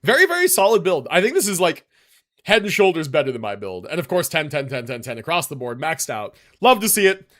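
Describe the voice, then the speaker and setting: Higher-pitched man streaming games, lively intonation. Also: brisk. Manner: relaxed slangy talk, thinking aloud, reading stats and chat aloud. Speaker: livestream host reviewing game builds. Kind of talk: casual gaming livestream commentary.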